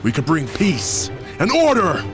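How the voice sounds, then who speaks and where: A menacing Sith lord father, acted by a man, tempting and grandiose in a fan audio drama. His voice is a little high and swings widely in pitch, over loud cinematic music. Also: wildly swooping pitch, measured pace. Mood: commanding, tempting.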